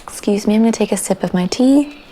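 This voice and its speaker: A young woman hosting a knitting podcast, chatting casually and relaxed, her voice raspy after a night of coughing. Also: medium pitch, fast talker, noisy background. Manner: chatty and relaxed, with fillers and small laughs. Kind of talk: casual chat about crafts.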